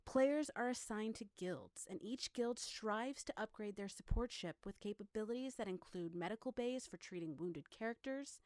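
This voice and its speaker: A woman's voice: mid-range voice, darkish tone, dry recording, studio quality. A woman narrating a scripted promotional video for a game in the manner of a trailer voice-over.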